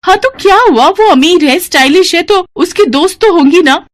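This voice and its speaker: A woman's voice: very high-pitched, moderate pace, pitched above the performer's own voice. Woman voicing a whiny, defiant young college girl. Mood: defiant, indignant. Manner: whiny, argumentative, emphatic. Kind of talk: dramatic Hindi cartoon story dialogue.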